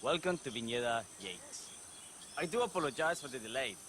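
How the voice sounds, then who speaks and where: Heavy background ambience surrounds a male actor as an effusive chief winemaker. His mid-pitched voice rises and falls with lively swings. He speaks like a showy game-cutscene tour guide, courteous and flowery. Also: fairly quick, background noise, lightly acted. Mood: effusive, welcoming. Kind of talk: video game cutscene acting.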